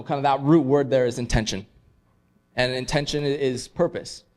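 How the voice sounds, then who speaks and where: A man's voice: medium pitch, a little mellow. A man in his twenties from Canada giving a casual, upbeat conference talk, with many fillers like "um" and "like". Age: 20s. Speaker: conference speaker. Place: convention hall stage.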